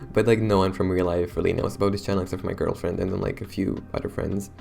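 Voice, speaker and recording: Young man with a hoarse, gravelly voice. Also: low voice, steady tone, a little fast, a little mellow, soft background music. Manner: chatty, rambling, self-conscious. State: hoarse, losing his voice. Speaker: YouTuber. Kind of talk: casual talk to camera.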